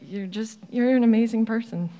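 A woman's voice: fairly high pitch, moderate pace, mellow. A woman speaking casually as an audience member, admiring and a little hesitant as she works toward a question. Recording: echoey convention hall sound.

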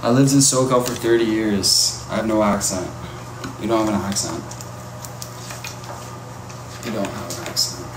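A man's voice: fairly low pitch, steady tone, moderate pace, long pauses. Chatty man on a noisy echoey livestream. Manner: chatty, reacting to viewer comments. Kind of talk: casual live-stream chat. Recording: echoey with loud ambient noise.

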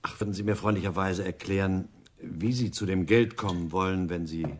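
An actor playing a blunt, skeptical professional criminal in a German radio crime drama, with a wary, direct delivery. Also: male voice, low-pitched, steady tone, brisk, a little hiss or hum, natural voice. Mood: wary, probing.

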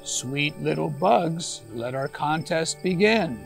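Big sly storybook spider judge done by a man, unhurried, mock-sweet, menacing. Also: on the high side, animated, vocal fry, faint music behind, exaggerated voice.

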